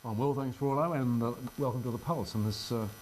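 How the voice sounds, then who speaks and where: A man's voice: medium pitch, a little fast, darkish tone, a little hiss or hum, poor audio. An older Australian man, a local radio presenter, chatting informally in an interview with a wry, self-deprecating manner. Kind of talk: casual interview chat. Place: radio studio.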